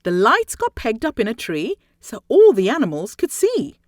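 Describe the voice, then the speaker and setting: Woman reading a rhyming storybook, very animated. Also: fairly high pitch, no room sound, clean studio sound. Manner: theatrical, deliberate phrasing with dramatic emphasis. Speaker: storybook reader. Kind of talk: expressive children's read-aloud of rhyming story.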